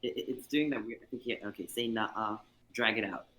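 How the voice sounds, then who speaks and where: A man's voice: fairly low pitch, fast talker, a bit bright. A man bantering casually with friends on a stream. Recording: noisy mic with room ambience.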